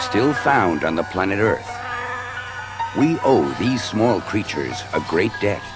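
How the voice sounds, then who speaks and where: A man's voice: low-pitched, heavily acted. A man playing a solemn elder insect warrior, telling sacred history in a grave, theatrical storybook-record style. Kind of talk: dramatic scripted storytelling on a children's story record. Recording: studio story record with music underneath.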